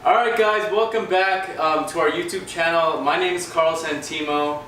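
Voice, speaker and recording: A man co-hosting a casual podcast, relaxed and encouraging as he asks questions. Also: fairly high pitch, moderate pace. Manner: relaxed, encouraging, frequent backchannels. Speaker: podcast co-host. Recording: echoey room, far from mic, noisy.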